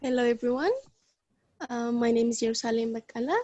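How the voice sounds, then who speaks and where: A woman's voice: on the high side, level tone, fairly quick, mellow, lo-fi sound, a little hiss or hum. A woman from Ethiopia with an Ethiopian accent, briefly introducing herself at an online meeting. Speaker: meeting participant. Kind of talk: short self-introduction. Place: online video call.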